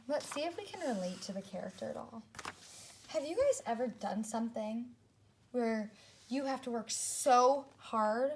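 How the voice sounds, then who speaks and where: A woman teacher reading a story aloud to young children, speaking expressively and deliberately, with asides to her second-grade listeners. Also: on the high side.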